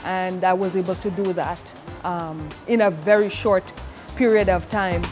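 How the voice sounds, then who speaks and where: A woman's voice: fairly low pitch, steady tone, measured pace, darkish tone. Woman giving a testimonial over music. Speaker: trade program student giving a testimonial. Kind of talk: personal testimonial to camera.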